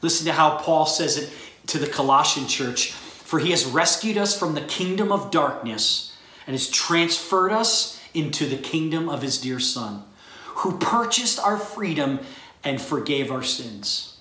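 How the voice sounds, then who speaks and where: A middle-aged man, a pastor, giving a recorded sermon to graduates in an earnest, measured way from a small room. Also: fairly high pitch, expressive, bright, forceful tone, echoey room, rough recording. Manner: earnest, measured preaching delivery. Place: small room with a bookshelf, indoors.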